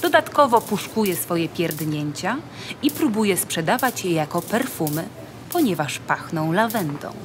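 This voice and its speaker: A woman's voice: lowish voice, sing-song, a little slow, background noise. A woman reading scripted narration in the style of a nature documentary for an audio play. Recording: music behind the voice. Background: music.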